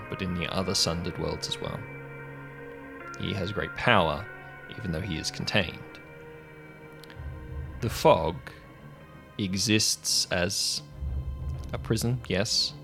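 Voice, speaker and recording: A man playing a solemn ancient godlike being who speaks in a grave, measured, portentous manner. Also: sits low, gravelly, exaggerated voice.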